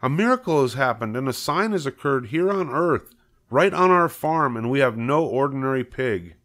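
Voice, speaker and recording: A man's voice: mid-range voice, very animated, normal speed, voice pushed up. A man voicing an earnest, awestruck middle-aged farmer in a storybook reading, raising his voice to insist. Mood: earnest, insistent.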